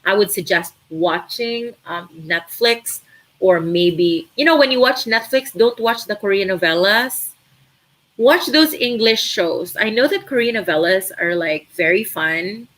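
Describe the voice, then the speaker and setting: Woman, young adult, Filipino accent, Tagalog native, live stream host, casual Q&A, animated, filler words. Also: mid-range voice, a little slow, rough recording. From Philippines. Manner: chatty, animated, uses many fillers.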